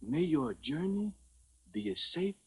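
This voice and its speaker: An actor playing a cautious old Burmese temple priest, speaking gravely and formally in a 1950s radio drama. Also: male voice, on the high side, sing-song, very noisy. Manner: grave, formal, measured, cryptic. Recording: vintage 1950s radio broadcast.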